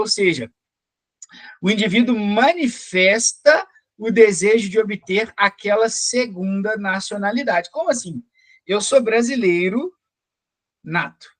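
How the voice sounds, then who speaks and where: A man's voice: high-pitched, a bit bright, dry recording. A man from Belo Horizonte with a Minas Gerais Brazilian accent, giving an explanatory exam-prep lecture in a didactic way with frequent check-in questions. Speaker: teacher, police or military sergeant.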